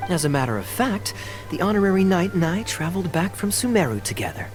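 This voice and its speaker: A man's voice: high voice, very animated, fast, soft background music. A man voicing a suave, easygoing young knight with a sly, playful and casual manner, in video game style. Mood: teasing.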